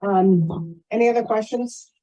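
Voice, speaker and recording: A woman's voice: fairly high pitch, a little slow, mellow, dull audio. A woman speaking informally at a meeting on a video call, in a chatty, rambling way.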